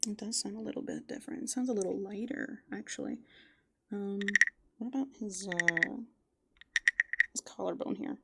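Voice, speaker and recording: A woman doing improvised ASMR roleplay, softly and playfully musing aloud, slipping into breathy whispers close to the microphone. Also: medium pitch, normal speed, long pauses, heavy background noise. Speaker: ASMR performer in a raccoon avatar persona.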